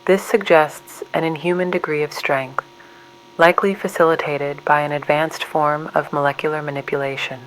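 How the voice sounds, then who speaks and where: A woman's voice: low-pitched, fairly even intonation, normal speed, a little hiss or hum, lightly acted, rough recording. A woman narrating a scripted horror science report in a formal, clinical manner.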